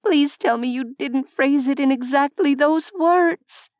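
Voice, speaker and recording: Woman doing a curious young woman, higher voice, eager questions, dry close audio. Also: unhurried, dry recording. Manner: bright, inquisitive, lively questions. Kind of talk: audiobook character voice.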